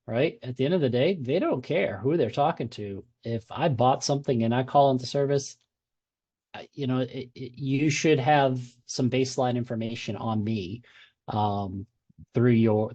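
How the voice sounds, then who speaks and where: A man with a Boston accent presenting at a user group meetup. His voice is mellow and dark. He talks conversationally, with frequent fillers and restarts. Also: medium pitch.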